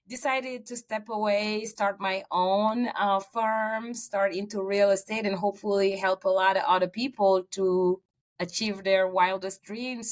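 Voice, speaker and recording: A middle-aged woman from Senegal, a real estate agent answering interview questions in earnest storytelling with fillers. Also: mid-range voice.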